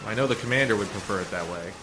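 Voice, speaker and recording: A man playing a calm, assured undercover rescuer in a sci-fi radio play, speaking in a measured, explanatory way. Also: lowish voice, close to an everyday voice. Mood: reassuring, matter-of-fact. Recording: noisy community radio drama recording.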